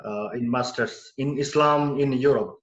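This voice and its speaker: A man's voice: mid-range voice, a little slow. A man, a graduate student abroad, asking a humble, hesitant question on a call. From Bangladesh. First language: Bengali. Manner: humble, hesitant, many fillers. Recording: roomy, muffled call audio.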